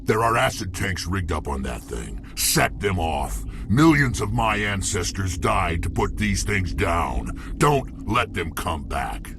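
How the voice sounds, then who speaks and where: A very deep, gravelly alien warrior. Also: male voice, big pitch swings, takes it slowly, hardly any pauses, noisy background, full character voice. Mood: grim. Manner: blunt, growling, scornful. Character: gruff, vengeful, sarcastic male alien warrior. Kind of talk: video game cutscene acting.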